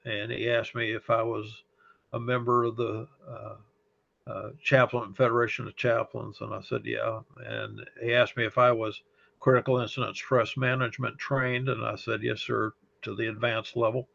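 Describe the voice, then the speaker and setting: A middle-aged man with a slight Texas accent, a fire service chaplain recounting memories in an interview, unhurried and halting with frequent pauses and fillers. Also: medium pitch, level tone, gravelly, fairly bright tone. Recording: dull lo-fi audio.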